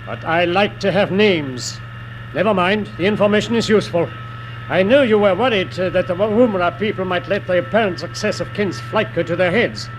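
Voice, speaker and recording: An actor playing a coolly confident, villainous spaceship commander in 1950s radio drama style, speaking with measured, precise authority. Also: male voice, high voice, lightly acted. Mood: cool, satisfied. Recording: noisy old radio broadcast recording.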